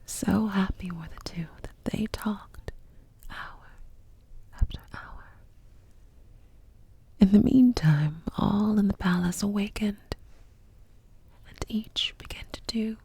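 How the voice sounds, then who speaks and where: Woman, breathy whisper, slow pace, soothing ASMR bedtime storyteller, short paused phrases. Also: mid-range voice, mellow.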